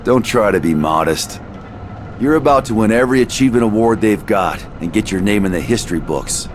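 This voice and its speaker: An actor playing a gruff, cynical man in an anime game, talking bluntly and casually with dry sarcasm. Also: lowish voice, animated, brisk, lightly acted. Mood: wry. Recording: music behind the voice.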